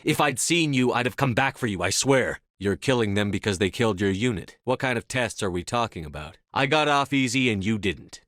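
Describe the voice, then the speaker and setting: A man acting in a video game as a commanding, resolute space soldier, speaking firmly with measured authority. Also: lowish voice, lively intonation, a bit bright, no room sound, studio quality. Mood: concerned, urgent, then earnest, resolute. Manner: firm, authoritative, measured delivery. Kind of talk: scripted game dialogue acting.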